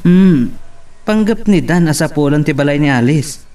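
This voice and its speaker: A man's voice: on the high side, animated, normal speed, warm tone, distant mic, soft background music, lightly acted. Man acting earnest Ilocano suitor, off-mic. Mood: flustered. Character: earnest, hesitant young male suitor confessing love. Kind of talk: Ilocano romance audio drama acting.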